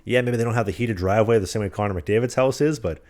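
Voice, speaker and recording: A man's voice: fairly low pitch, quick pace, a little mellow. A man co-hosting a podcast, joking and laughing through casual chat. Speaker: podcast co-host. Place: apartment recording studio.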